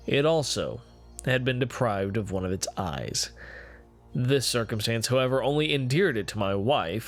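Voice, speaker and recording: A man performing a dramatic gothic horror reading as a guilt-ridden, morbid narrator, with formal, deliberate, theatrical phrasing. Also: mid-range voice, close to an everyday voice. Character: guilt-ridden, morbid male narrator confessing his cruelty. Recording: music playing behind the voice. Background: music.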